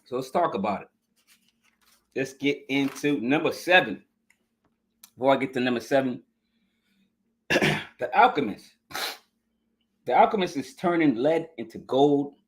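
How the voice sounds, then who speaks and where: A man's voice: medium pitch, expressive, unhurried, pauses often, a bit bright. A man hosting a podcast album review in a casual, hyped, slangy way, speaking straight to his viewers, his voice scratchy this morning. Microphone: live stream podcast mic.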